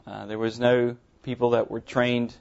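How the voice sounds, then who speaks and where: South african guy, afrikaans first language, mild accent, earnest church testimony, flat voice, kinda dull recording. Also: medium pitch, gravelly. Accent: Afrikaans-accented English, mild. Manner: earnest, halting, many ums and uhs. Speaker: visiting pastor. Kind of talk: sermon testimony to a congregation. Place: church sanctuary.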